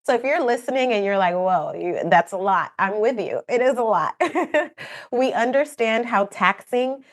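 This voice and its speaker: A woman's voice: a little high, lively intonation, moderate pace. Kenyan woman explaining earnestly. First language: not English. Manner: earnest, explanatory, with light laughs and asides. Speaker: program manager, guest expert. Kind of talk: podcast interview answer.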